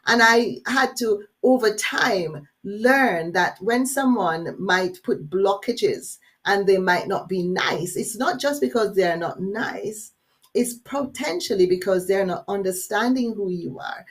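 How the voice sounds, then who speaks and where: An energetic woman with a Jamaican accent running a workshop. She speaks to her audience directly and puts questions to them, at a pitch that sits in the middle of the usual range for a woman. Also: slow pace. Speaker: workshop facilitator. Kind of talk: live webinar workshop presentation.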